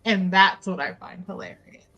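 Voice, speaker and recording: A woman's voice: mid-range voice, normal speed, muffled recording, noisy background. A woman in casual banter during a tabletop roleplaying game, with a teasing, blunt way of talking.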